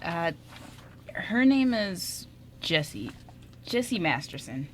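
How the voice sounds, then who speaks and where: A woman plays a shy young man in an amateur radio play. He is sensitive and smitten, talking haltingly in a lowish register with small stammers. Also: sing-song, slight background noise. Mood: grateful, hesitant. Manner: hesitant, stammering. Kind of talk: amateur radio drama script reading.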